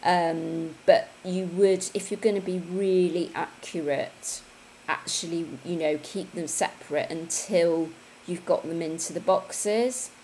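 A woman's voice: fairly low pitch, steady tone, unhurried, mellow, slight background noise, low-quality recording. Chatty woman on a webcam. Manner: chatty, conversational, with tag questions. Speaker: fitness coach hosting a livestream. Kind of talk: casual livestream chat reading viewer comments. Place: home room, indoors.

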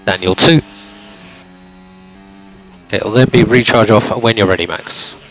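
A man working as a race engineer, giving calm, clipped instructions to a driver over team radio. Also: lowish voice, a little slow. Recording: noisy team radio, car engine sound.

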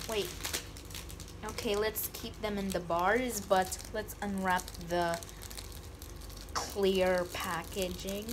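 A woman's voice: medium pitch, steady tone, slow pace, pauses often, noisy background. A woman unboxing candy on camera in a casual vlog, joking and pretending to be scared. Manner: playful, mock-scared squeals and jokes. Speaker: vlogger. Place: indoors at a table. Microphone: phone camera held over a table.

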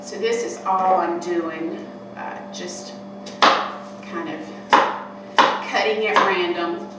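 A woman's voice: lowish voice, steady tone, slow. An older woman in her sixties hosting a cooking show, chatting homespun tips while she works in a large kitchen hall. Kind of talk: casual cooking demonstration. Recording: echoey room from far off, noisy.

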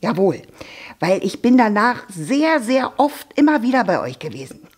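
Woman doing a chatty puppet girl, loud, gushy, kinda husky. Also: fairly high pitch, sing-song, normal speed. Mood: gushing. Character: cheeky young female puppet, chatty and bubbly. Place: dark theatre stage.

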